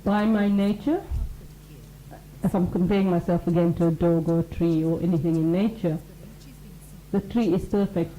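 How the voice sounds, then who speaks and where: Mellow, earnest woman teaching mindfulness on radio. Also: lowish voice, fairly even intonation, normal speed, echoey room, a little hiss or hum, rough recording. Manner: earnest, explains with examples and acted-out quotes. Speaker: mindfulness teacher, show regular. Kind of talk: conversational radio talk.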